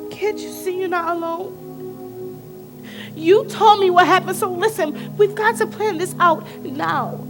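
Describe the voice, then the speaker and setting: An earnest, protective teenage girl acted by a woman with a very high voice and slow, emphatic delivery. She implores like a devoted best friend in a stage drama, with faint music behind her. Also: exaggerated voice. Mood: upset, pleading. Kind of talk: emotional stage play acting.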